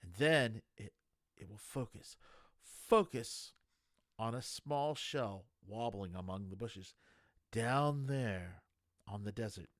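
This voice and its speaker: A man's voice: lowish voice, fairly bright tone, dry recording. Man reading a novel aloud, dry sound. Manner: meandering, halting, conversational. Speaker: amateur audiobook reader. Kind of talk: casual chat, then reading a novel aloud.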